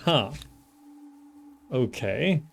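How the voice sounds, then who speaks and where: A man's voice: medium pitch, animated, extremely slow, vocal fry. A man giving casual gameplay commentary, thinking aloud as he plays. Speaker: gaming video host.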